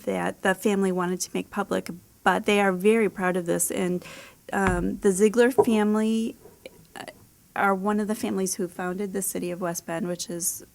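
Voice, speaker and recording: A woman's voice: medium pitch, fairly even intonation, normal speed, gravelly, a little hiss or hum. An American woman speaking casually and informally as an author being interviewed.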